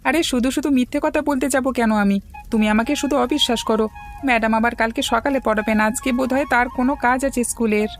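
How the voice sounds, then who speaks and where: A woman's voice: on the high side, fairly even intonation, a little fast, faint music behind, natural voice. A woman voicing a young village schoolboy in a cartoon, helpful and plainspoken but prone to grumbling. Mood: defensive. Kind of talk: cartoon dialogue acting.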